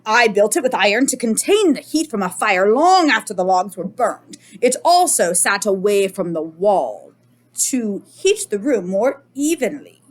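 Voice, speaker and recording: A woman's voice: medium pitch, very animated, a little slow. A woman voicing a proud, boastful old male inventor in a children's picture book read-aloud, theatrical and pleased with himself. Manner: self-congratulating, theatrical.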